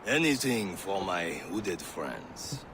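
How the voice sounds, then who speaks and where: An actor playing an urbane, obliging informant in a video game, with a polished, florid way of talking. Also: male voice, low voice, big pitch swings, slow, noisy background, exaggerated voice. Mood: pleased, obliging. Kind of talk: dramatic video game acting.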